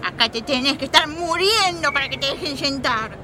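A woman's voice: sits high, animated, a little fast, over a noisy crowd. An actress playing a complaining, dramatic old grandmother in a comedy, with a Rioplatense Argentine accent. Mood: grumbling. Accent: Rioplatense Argentine Spanish. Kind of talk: broad comic family sketch acting.

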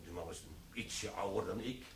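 A man's voice: low voice, moderate pace, creaky, reverberant, a little hiss or hum. A man playing a stern, dry security chief. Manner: formal, dry, curt. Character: stern middle-aged security manager, dry and cool. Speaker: actor in radio play. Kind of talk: radio play acting.

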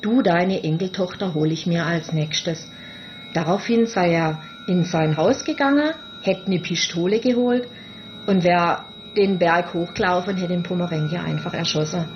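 A woman's voice: fairly low pitch, a little slow, slight background noise. A woman telling personal memories in an interview, with muffled sound. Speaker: policeman's daughter interviewed.

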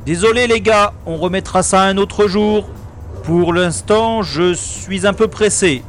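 A man's voice: high voice, unhurried. A man playing a cocky, wry space secret agent in a French science-fiction audio drama. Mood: mocking. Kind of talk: French sci-fi audio drama, first-person narration and dialogue. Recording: roomy sound with music behind.

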